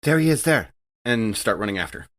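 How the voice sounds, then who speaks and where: A man's voice: fairly low pitch, lively intonation, a little fast, a bit bright, no echo. A man playing a tabletop roleplaying game, casually describing his character's actions and rolls.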